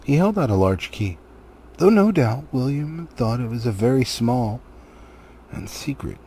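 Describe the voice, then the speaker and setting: A man's voice: medium pitch, animated, a little slow, dark tone, a little hiss or hum. A man narrating a storybook expressively as an audiobook reader.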